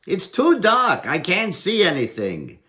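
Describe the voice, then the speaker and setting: A man's voice: sits high, huge pitch swings, a little slow, bright, forceful tone, voice pushed up, heavily acted. An older man voicing a bold, mocking village trickster who shows no fear, in a storybook reading. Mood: teasing, unimpressed. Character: defiant, unafraid, teasing village trickster man.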